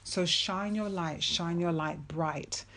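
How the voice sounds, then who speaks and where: A woman with a low, deep-set voice delivers a faith-based motivational talk. She speaks slowly and deliberately, repeating her key phrases with earnest emphasis. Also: sharp tone.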